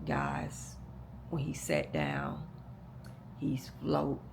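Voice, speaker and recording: A hoarse, deeply gravelly woman tells her viewers a long personal story. She rambles, restarts her sentences and speaks to them directly as "y'all." A faint noise sits under the recording. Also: big pitch swings, very slow. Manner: rambling, repeats and restarts, talks straight to "y'all". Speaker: vlogger.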